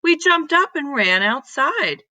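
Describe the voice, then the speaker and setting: A woman reading a children's story aloud in an expressive, deliberate manner. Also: sits high, no room sound. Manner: deliberate, animated reading with emphatic exclamations.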